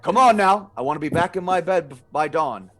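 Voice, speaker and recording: A man's voice: high-pitched, brisk, fairly bright tone. A man playing a bold, determined brother in a radio play, decisive and dramatic in his delivery. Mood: triumphant. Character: bold, determined brother plotting against a witch.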